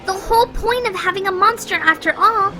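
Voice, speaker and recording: A woman reading a children's picture book aloud, playful and expressive. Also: very high voice, fairly quick, faint music behind. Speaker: children's story reader. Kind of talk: read-aloud storytelling.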